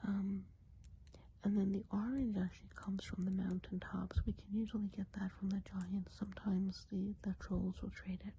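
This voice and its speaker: A woman doing a hushed, whispered ASMR role play as a friendly woodland witch, explaining things in a gentle, meandering way. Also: medium pitch, level tone, normal speed, a little hiss or hum. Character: friendly Christmas witch of the woods. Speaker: ASMR performer.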